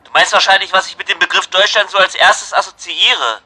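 A man's voice: high voice, fairly even intonation, fairly quick, sharp tone. A man in casual comic debate as a radio caller, pedantic, argumentative and impatient.

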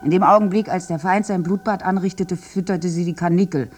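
A woman's voice: on the low side, fairly even intonation, a little fast, a little mellow, slight background noise, close to an everyday voice. An actress playing a bitter, wounded village woman who survived a massacre, in a theatrical, morbidly wry radio drama monologue.

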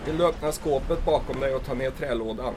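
A man's voice: medium pitch, noisy background, natural voice. A man playing a stubborn, bitter old fisherman in an audio drama, speaking in an emotional, plaintive way. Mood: wistful.

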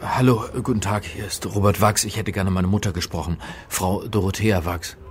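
A man's voice: low-pitched, steady tone, normal speed, a little hiss or hum, close to an everyday voice. An actor playing a hapless, wry crime hero who narrates his own story in a radio play. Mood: flustered.

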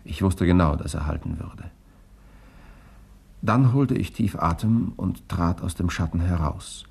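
Deep, gravelly journalist hero. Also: male voice, unhurried, darkish tone, full character voice. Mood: reflective narration. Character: cool, gallant male journalist, hero and first-person narrator. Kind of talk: 1960s German radio crime drama acting and narration.

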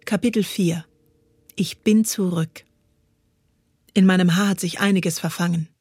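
A woman's voice: lowish voice, steady tone, a little slow, darkish tone, slightly rough, no echo, studio quality. A woman reading literary prose and poetry as a scripted narration. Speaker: reader. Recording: music playing behind the voice. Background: music.

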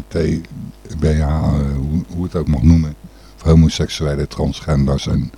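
A man hosts an informal news talk show. His voice is very deep and heavily dark, with a husky edge. He rambles, searches for words and freely gives his opinion. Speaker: radio and podcast host. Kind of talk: informal radio commentary on news.

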